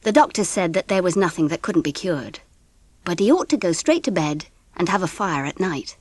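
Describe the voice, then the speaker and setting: A gentle, weary English mother, husky and expressive in a dry recording. She narrates and talks with tender, measured care, in dramatised audiobook style. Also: fairly low pitch, no echo. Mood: reflective. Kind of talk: scripted first-person narration and audiobook drama.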